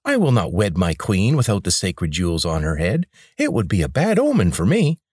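High grating tyrant, ruthless and commanding, audiobook villain. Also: male voice, wildly swooping pitch, normal speed, darkish tone, husky, no room sound, studio quality, exaggerated voice. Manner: commanding, menacing. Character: high grating rebel leader, male, ruthless.

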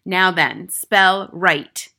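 Storybook headmistress played by a woman, huge bully, barking and bellowing, contemptuous, forceful. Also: fairly low pitch, very slow, exaggerated voice. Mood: furious command.

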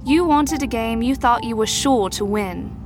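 A woman playing a shrewd, teasing adult mentor to troubled teens in an audio drama, with a measured, knowing, probing delivery. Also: on the high side, over music, natural voice. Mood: shrewd, needling.

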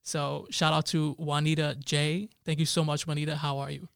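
A man's voice: fairly high pitch, flat delivery, normal speed, gravelly, fairly bright tone, smooth, dry recording, studio quality. A man in his twenties hosting a podcast, chatting upbeat and casual with a guest. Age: 20s. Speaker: podcast host. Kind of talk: casual interview chat.

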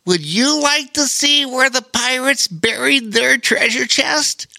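A man voicing a friendly, frank male spider in a storybook, talking eagerly in a high squeaky voice. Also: slow, creaky, sharp tone, no echo, clean studio sound, exaggerated voice. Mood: excited. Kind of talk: storybook reading.

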